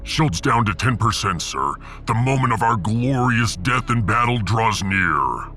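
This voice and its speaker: A man performs a proud barbarian warrior aboard a starship, low-voiced and formal. He is stoic yet bellicose, with big swings of intonation and music underneath, in sci-fi radio-play style. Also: expressive, a little slow, sharp tone. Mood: proud, grim. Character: proud, battle-hungry barbarian warrior serving as ship's gunner. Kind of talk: sci-fi audiodrama acting.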